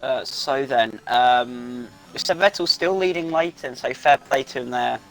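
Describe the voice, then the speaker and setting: A man's voice: mid-range voice, measured pace, creaky, a bit bright, rough recording. A man co-commentating a sim race in a casual, chatty way with frequent fillers. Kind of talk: live sim racing commentary.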